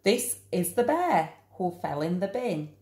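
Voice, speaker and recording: A woman reading a children's picture book aloud in an expressive, deliberate storytelling manner. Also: fairly low pitch, roomy sound. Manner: slow, animated, deliberate storytelling.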